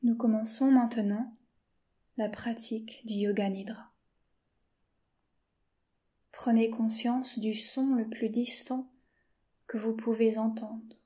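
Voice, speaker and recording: A woman teacher guiding a yoga nidra session in a slow, soft-spoken, calm way, often dropping to a whisper. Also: on the high side. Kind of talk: guided meditation.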